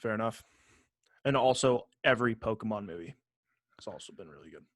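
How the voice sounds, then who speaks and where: A man's voice: lowish voice, lively intonation, fairly quick, dry recording, studio quality. A man hosting a podcast, chatting casually about entertainment in emphatic, profanity-laced slang. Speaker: podcast host. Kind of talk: casual podcast chat about movies and games.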